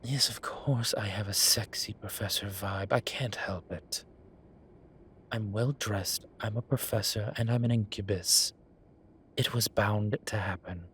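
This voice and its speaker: A man's voice: lowish voice, steady tone, measured pace, a bit bright, a little hiss or hum. A man playing a vain, suave incubus demon who poses as a posh professor in an audio roleplay, with a wry, self-satisfied drawl. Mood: smug, flirtatious. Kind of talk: scripted audio roleplay acting. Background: ambient sound effects.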